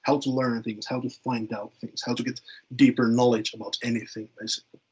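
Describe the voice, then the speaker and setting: A man's voice: medium pitch, level tone, brisk, darkish tone, husky, poor audio. A man, speaking informally in a webinar discussion and often repeating words as he thinks. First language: Finnish. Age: late 20s.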